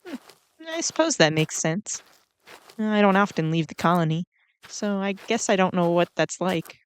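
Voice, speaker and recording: A woman voicing a kindly, flustered giant ant queen in a roleplay audio drama, speaking gently and hesitantly to the listener. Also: lowish voice, animated, normal speed, smooth, lightly acted. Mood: chatty, gentle. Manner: gentle, hesitant, rambling with small nervous laughs.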